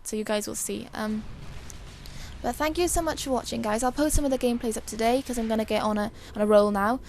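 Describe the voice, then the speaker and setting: Hoarse, high-voiced woman doing gameplay commentary. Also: fast, a little mellow, slight background noise. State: hoarse, dry voice. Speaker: gaming video creator.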